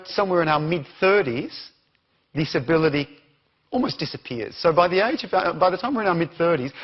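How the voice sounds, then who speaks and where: A man's voice: fairly high pitch, breathy edge, lo-fi sound. A man with an Australian accent giving an interactive conference talk, questioning the audience as he goes. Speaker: conference speaker.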